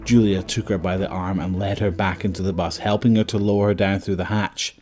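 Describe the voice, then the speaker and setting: Very deep-voiced male horror narrator. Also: little pitch movement, a little fast, a little mellow. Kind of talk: scripted dramatic horror narration. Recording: music under the voice.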